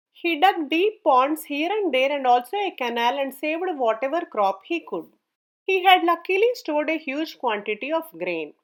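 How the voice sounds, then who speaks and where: A woman storyteller reading a scripted folk tale as narration. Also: high voice.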